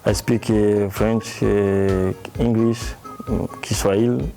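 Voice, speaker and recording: Mellow, hesitant Burundian man. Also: fairly low pitch, fairly even intonation, takes it slowly, vocal fry, soft background music, low-quality recording. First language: Kirundi. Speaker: discussion panelist.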